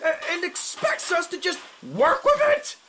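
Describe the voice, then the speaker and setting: Excitable woman worker played by an actress, high lively voice, outspoken, comic audio drama, faintly noisy. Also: unhurried, heavily acted. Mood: indignant.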